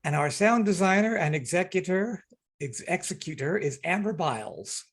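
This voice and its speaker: A man's voice: sits high, animated, normal speed, husky. A man acting as director and announcer, introducing and narrating a radio play reading over a video call. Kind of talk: spoken introduction and scripted narration. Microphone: video call microphone.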